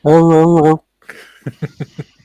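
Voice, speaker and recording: A man's voice: fairly high pitch, monotone, drawn-out words, dark tone, smooth, no echo. A man hosting a live stream, casually reading out chat messages with chuckles and jokes. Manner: chuckling, jokey.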